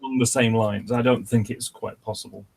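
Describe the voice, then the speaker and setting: A man in his forties chatting casually as host of an online group call, with a hesitant, halting delivery. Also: on the low side, fairly even intonation, gravelly. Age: 40s.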